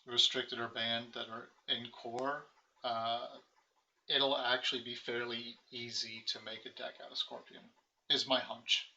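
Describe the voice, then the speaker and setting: A man's voice: mid-range voice, measured pace, highs cut off, a little hiss or hum. An American man co-hosting a live card game stream, chatting casually and rambling with lots of fillers. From United States. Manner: relaxed, rambling, many fillers. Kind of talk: casual post-game chat.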